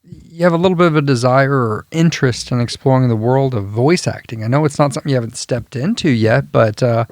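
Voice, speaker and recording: A man's voice: mid-range voice, a little fast, darkish tone, no room sound, clean studio sound. Easygoing male podcast host. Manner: easygoing questions with fillers. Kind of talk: casual interview.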